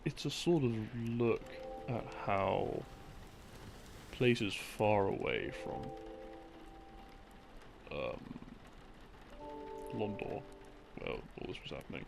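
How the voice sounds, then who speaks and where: A man telling a story in a rambling, intimate boyfriend-roleplay style, halting and musing as his thoughts trail off. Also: on the low side, very animated, gravelly. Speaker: audio roleplay performer playing a boyfriend. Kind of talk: rambling, improvised intimate storytelling of game lore. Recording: music behind the voice. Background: slow game theme music.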